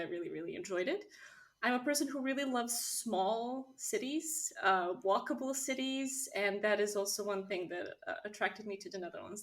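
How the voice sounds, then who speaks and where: Woman, conversational. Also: mid-range voice. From Serbia. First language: Serbian. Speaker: interview guest, business co-founder. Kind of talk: conversational personal storytelling.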